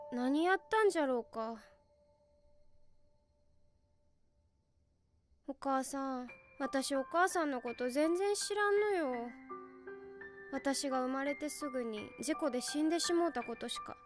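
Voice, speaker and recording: A woman playing an easygoing, frank teenage schoolgirl in an animated film, speaking casual Okayama dialect. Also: sits high, a little slow, faint music behind. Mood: wistful. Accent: Okayama Japanese.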